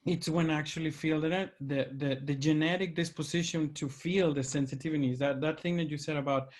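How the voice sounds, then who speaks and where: A man's voice: fairly high pitch, fairly even intonation, brisk. A man with a Mexican accent, hosting a conversation, speaking earnestly and searching for his words. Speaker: host. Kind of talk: reflective conversation.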